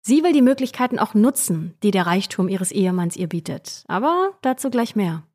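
A woman's voice: mid-range voice, very animated, brisk, darkish tone. A woman co-hosts a true-crime podcast, telling a scripted story in a conversational way that addresses listeners. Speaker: podcast co-host.

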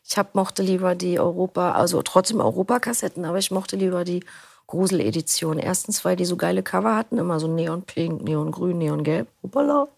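Woman, gravelly voice, casual slangy chat, dry studio sound. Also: lowish voice, fairly quick, darkish tone, no room sound. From Berlin, Germany. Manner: chatty, loose, slangy. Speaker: interview guest, audiobook reader. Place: recording studio. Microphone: studio mic.

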